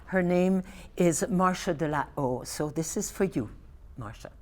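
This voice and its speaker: A woman in her eighties from Belgium, a native Flemish speaker with a Flemish accent, reading her poetry softly and with measured care, sometimes dropping to a whisper. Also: on the low side. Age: 80s. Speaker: poet.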